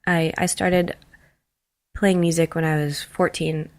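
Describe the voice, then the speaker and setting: Casual low-voiced woman with heavy creak. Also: flat delivery, unhurried, dry recording. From Israel. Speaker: interview guest, jazz bassist. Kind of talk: casual chat.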